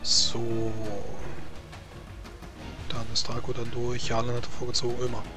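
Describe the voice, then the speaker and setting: A man's voice: mid-range voice, monotone, a little slow. A man casually moderating a tabletop role-playing game as game master. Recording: music behind the voice. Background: music.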